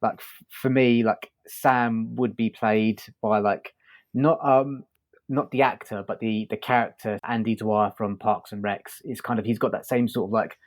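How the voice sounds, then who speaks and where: A man co-hosting a podcast, chatting casually about a review and thinking aloud in a halting way. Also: fairly low pitch, steady tone. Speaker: podcast co-host reviewing audio drama.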